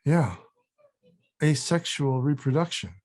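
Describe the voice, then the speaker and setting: A man playing an anxious, sheepish actor with a husky voice in a comedy table read. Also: fairly high pitch, lively intonation, slow pace, darkish tone. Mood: puzzled. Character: anxious, awkward adult male actor, defensive and sheepish.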